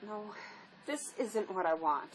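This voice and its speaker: A woman's voice: medium pitch, animated, measured pace, lightly acted, low-quality recording. An actress playing a wounded, despairing woman drifter in a drama, emotional, by turns pleading and defiant. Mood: upset, defiant. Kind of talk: dramatic script performance. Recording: faint background noise.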